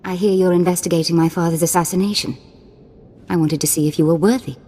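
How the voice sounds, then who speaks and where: A cruel young Sith noblewoman, voiced by a woman taunts in a theatrical sing-song for a game cutscene. Her voice is rather low and breathy, with a long reverb hanging behind it. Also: fairly even intonation, fast, mellow, close to an everyday voice. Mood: calm. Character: playful cruel young female Sith lord.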